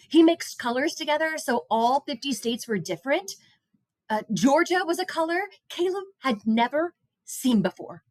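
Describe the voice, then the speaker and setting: Animated woman reading a children's story. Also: a little high, no echo. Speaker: read-aloud storyteller. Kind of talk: expressive children's picture book narration.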